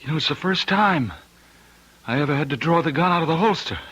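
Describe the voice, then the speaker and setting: A man's voice: a little high, lively intonation, brisk, fairly bright tone, breathy edge, highs cut off. An actor playing an earnest, rattled male police officer in a radio drama. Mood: shaken. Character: veteran patrol cop, earnest, rattled and faltering. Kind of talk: old-time radio drama acting. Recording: old radio drama recording.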